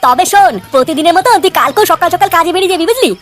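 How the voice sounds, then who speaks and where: A man plays a scheming but sympathetic cartoon club leader with a very high, artificially raised voice. He advises earnestly in fast, colloquial patter. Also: lively intonation, fairly bright tone. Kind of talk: comic cartoon dialogue.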